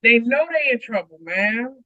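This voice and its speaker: Bright-voiced woman, muffled close mic. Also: a little high, animated, measured pace, dry recording. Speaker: co-host of a Bible read-along. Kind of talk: casual group chat and scripture read-aloud. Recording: muffled, dull home stream mic.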